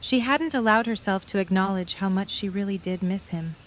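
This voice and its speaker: A woman's voice: mid-range voice, brisk, slight background noise. A woman reading scripted story narration for an audio drama.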